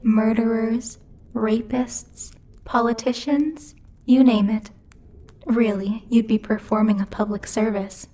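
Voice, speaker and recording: A woman's voice: a little high, fairly even intonation, slow, dark tone. A woman voicing a bossy, teasing female demon who rules hell, speaking in a haughty, playfully menacing ASMR roleplay style. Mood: taunting, menacing. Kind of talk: ASMR roleplay, intimate scripted monologue to the listener.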